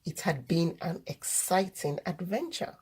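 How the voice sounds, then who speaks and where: A woman reading a children's story aloud as host, in deliberate, expressive narration. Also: lowish voice, background noise.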